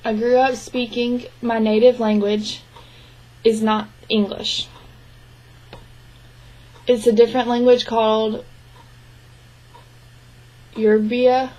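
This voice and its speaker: A woman voicing the spoken interpretation of a signed interview, speaking in an even, measured way with frequent restarts. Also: fairly high pitch, poor audio. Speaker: sign language interpreter voicing a deaf man's answers.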